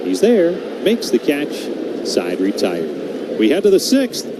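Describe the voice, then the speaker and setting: A man's voice: a little high, huge pitch swings, slow pace. A man with an American accent calling baseball play-by-play as live radio commentary. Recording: loud background noise on live broadcast.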